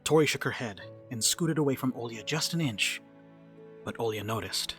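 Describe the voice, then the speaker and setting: A man's voice: mid-range voice, moderate pace, fairly bright tone, soft background music. A man giving scripted third-person narration for a dramatic reading.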